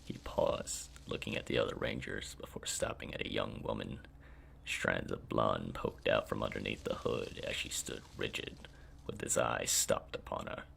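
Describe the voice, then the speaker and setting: A man giving a dramatic scripted reading of a fantasy story as its author, in a deep, gravelly, often breathy voice. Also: fairly even intonation, normal speed, fairly bright tone, a little hiss or hum.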